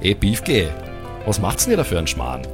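A man's voice: lowish voice, huge pitch swings, normal speed, over music. A man voicing an easygoing, pragmatic camper in a tabletop radio play, with a colloquial Bavarian accent and a matter-of-fact, mildly scolding manner. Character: easygoing male festival camper, dialect-speaking, resigned and pragmatic.

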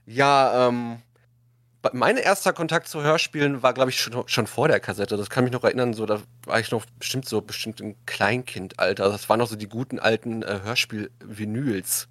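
A man's voice: medium pitch, normal speed, fairly bright tone, no echo. A man hosting a casual discussion, often restarting his sentences as he searches for words. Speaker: podcast and radio show host. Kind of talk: casual chat, moderating a discussion.

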